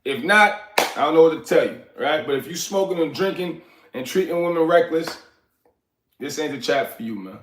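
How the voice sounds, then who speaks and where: A man in his forties from Liberia talks casually on a livestream from his apartment, drifting between topics and replying to chat. His voice sits a touch high, and he sounds as if he is still shaking off an illness. The room around him is echoey. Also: lively intonation, moderate pace, noisy background. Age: 40s. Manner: loose, rambling, answers chat by name. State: recovering from illness, coughing. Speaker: livestream host.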